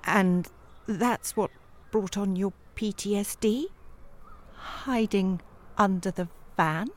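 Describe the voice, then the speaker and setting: An actress playing a formal, strained job interviewer in a comic audio play, politely exasperated and clipped. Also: female voice, medium pitch, takes it slowly, lightly acted. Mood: incredulous, strained.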